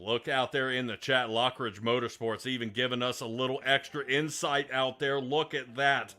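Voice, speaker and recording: A man's voice: mid-range voice, bright, forceful tone. A man hosting a sim racing broadcast, interviewing a driver and rambling upbeat commentary with many restarts. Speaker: race broadcast host.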